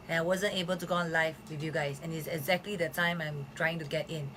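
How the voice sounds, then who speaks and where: A woman with a hoarse voice hosting a casual live health stream, chatting with lots of fillers. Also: mid-range voice, pushed and bright, a little hiss or hum. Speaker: host of a live health show. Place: Philippines.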